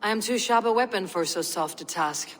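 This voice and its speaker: An actress playing a stoic, blunt Norse warrior in a video game, with a measured, solemn way of speaking. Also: female voice, mid-range voice, a bit bright, lightly acted. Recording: reverberant hall, faint background noise.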